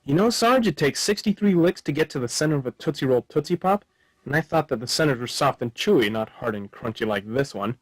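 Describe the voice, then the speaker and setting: An actor playing a flippant, absent-minded hard-boiled detective in a radio play, with a deadpan, wisecracking delivery. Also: male voice, medium pitch, a little fast, soft background music, close to an everyday voice. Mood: flippant, vague. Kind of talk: noir radio play narration and dialogue.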